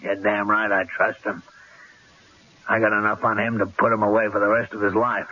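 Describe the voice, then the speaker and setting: An actor playing a cocky, menacing gangster in a radio drama, with tough, slangy street talk and a rough edge. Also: male voice, on the low side, level tone, moderate pace, pushed and bright. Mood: boastful. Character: cocky, menacing gangster killer holding a couple at gunpoint. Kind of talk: 1970s radio drama acting. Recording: dull, lo-fi old radio broadcast.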